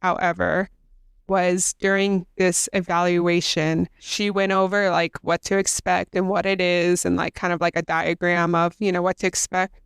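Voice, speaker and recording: Congested young woman, chatty and clear. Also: lowish voice, flat delivery, normal speed, no room sound, studio quality. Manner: chatty, many fillers and self-corrections. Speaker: podcast co-host. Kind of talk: casual chat.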